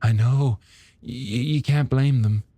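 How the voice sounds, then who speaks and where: A man voicing a polite, warm and slightly shy married woman in an audiobook, gently conversational. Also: mid-range voice, fairly even intonation, unhurried, no echo, pitched above the performer's own voice, close to an everyday voice. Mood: polite, reassuring. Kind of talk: audiobook character dialogue.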